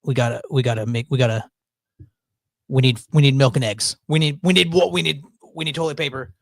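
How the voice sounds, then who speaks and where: A man's voice: medium pitch, fairly even intonation, fairly quick, creaky, no room sound, studio quality. A man hosting a casual chat, rambling with stops and restarts and a joking tone. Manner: rambling, self-interrupting, jokey. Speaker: podcast host.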